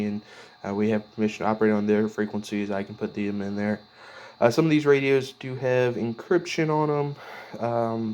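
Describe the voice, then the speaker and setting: A man giving a casual, unscripted walkthrough of his hobby gear, speaking plainly and haltingly with pauses mid-sentence. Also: on the low side, slight background noise, poor audio. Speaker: radio hobbyist presenting own gear.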